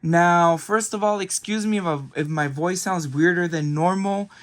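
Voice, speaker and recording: A man recovering from a cold, his voice a little raspy, rambling through a casual video game haul with lots of ums and restarts. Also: high voice, moderate pace. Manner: rambling, frequent ums and self-corrections. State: getting over a cold, raspy. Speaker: video game collector vlogger.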